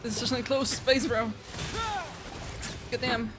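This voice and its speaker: A woman's voice: a little high, little pitch movement, unhurried. A woman game streamer giving casual, slangy live commentary and reacting as she plays. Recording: game music playing behind.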